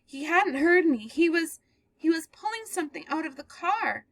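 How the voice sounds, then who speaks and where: A woman's voice: high-pitched, moderate pace, pushed and bright, no room sound, voice pushed up. A woman voicing a terrified, sobbing young woman. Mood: frightened. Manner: breathless, pleading, sobbing.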